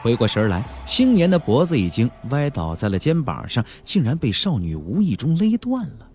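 A man's voice: medium pitch, huge pitch swings, moderate pace, a little mellow. A man narrating a fantasy audiobook in a theatrical, emphatic style, drawing out key phrases for drama. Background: faint background music.

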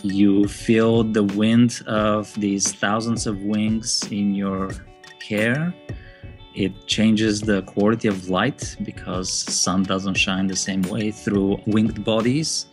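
A man, a bat biologist, giving thoughtful, measured interview answers with occasional fillers. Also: lowish voice, flat delivery, soft background music.

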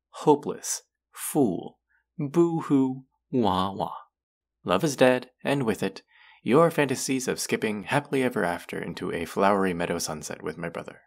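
A man's voice: fairly low pitch, gravelly, exaggerated voice. A man acting a condescending, mocking young twin brother in a roleplay audio drama, with a teasing, sarcastic drawl.

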